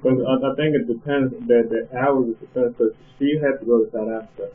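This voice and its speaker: A man's voice: medium pitch, fairly even intonation. Young man, tinny phone line. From Ghana. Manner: laid-back, halting. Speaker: interviewer. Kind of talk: casual interview by phone.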